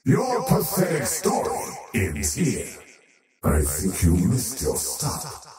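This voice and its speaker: An actor voicing an arrogant, menacing faceless void creature in a video game, with pompous, ominous and theatrical delivery. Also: male voice, fairly low pitch, wildly swooping pitch, takes it slowly, recorded from a distance, full character voice. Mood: scornful. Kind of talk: theatrical game character voice lines. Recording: roomy reverb over background music.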